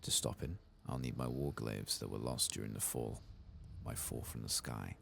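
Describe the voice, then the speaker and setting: A man speaking softly in an ASMR game playthrough, often sinking into a whisper, with an unhurried, hushed, muttering delivery. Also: very deep voice, vocal fry, slight background noise. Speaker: ASMR gaming host.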